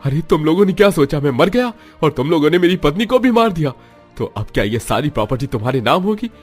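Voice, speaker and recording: A devoted, wronged husband and father acted by a man in a cartoon melodrama. His fairly high male voice swings widely and emphatically, with music behind it. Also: expressive, fast talker. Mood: angry. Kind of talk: melodramatic Hindi cartoon dialogue.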